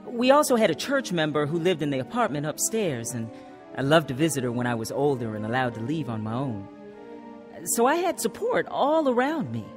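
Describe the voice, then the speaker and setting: Expressive, earnest woman. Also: sits low, fairly quick, over music. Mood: reflective. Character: earnest woman recalling her troubled youth. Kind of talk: first-person radio drama narration and acting.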